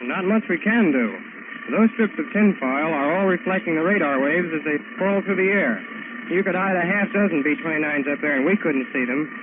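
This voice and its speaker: High-voiced American officer, tinny old radio. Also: male voice, normal speed. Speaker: military radar officer being interviewed. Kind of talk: 1940s radio interview, scripted answers. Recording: noisy, tinny 1940s radio broadcast.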